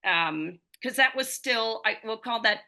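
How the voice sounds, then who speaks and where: Woman, fifties, running coach, rambling guest storytelling, bright tone. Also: fairly high pitch, animated, unhurried. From United States. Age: 50s. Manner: rambling storytelling with many fillers. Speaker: running coach and physical therapist. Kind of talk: conversational podcast interview.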